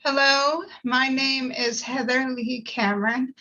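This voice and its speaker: Bright, high-pitched woman on lo-fi audio. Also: steady tone, takes it slowly. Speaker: actor and voice actor. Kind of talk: self-introduction and casual chat.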